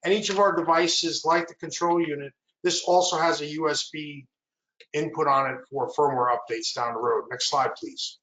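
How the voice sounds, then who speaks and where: A man's voice: fairly high pitch, moderate pace, reverberant, rough recording. A man giving a product presentation, walking through slides and asking for the next one. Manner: steady explanatory walkthrough, asks for the next slide.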